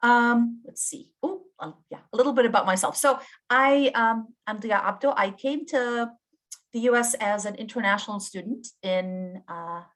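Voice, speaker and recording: A woman from Jordan, a professor and guest speaker, giving a presentation on a video call in a warm, effusive way with frequent ums. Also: a little high, moderate pace.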